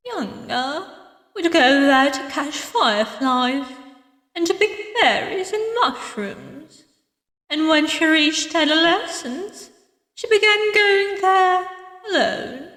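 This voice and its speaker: Woman as elderly grieving villager, visual novel character, very high pitch, slow, tender, wistful, distant reverberant recording. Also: fairly bright tone, exaggerated voice. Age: older. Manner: slow, halting, sorrowful delivery. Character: grieving old woman, tender, wistful, doting on lost girl. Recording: echoey hall reverb, distant sound.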